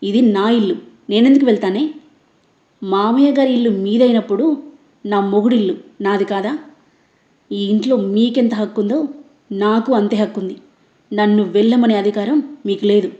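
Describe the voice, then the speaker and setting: A woman's voice: medium pitch, fairly even intonation, moderate pace, pauses often, warm tone, roomy sound, highs cut off, natural voice. A woman voicing a proud, hurt young daughter-in-law who firmly challenges and demands proof. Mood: defiant.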